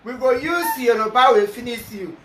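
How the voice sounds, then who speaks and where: A man's voice: extremely high pitch, moderate pace. A man with a Nigerian accent hosting a playful comedy chat, bantering and laughing often. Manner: animated, switching languages, laughing often. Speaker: comedy skit host. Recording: echoey room with noise.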